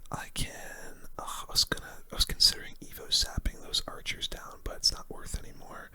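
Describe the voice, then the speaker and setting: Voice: a little fast, pushed and bright. Whispering ASMR gamer. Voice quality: breathy unvoiced whisper. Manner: casual, mildly grumbling, slangy. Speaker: gaming video host. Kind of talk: whispered ASMR gaming commentary.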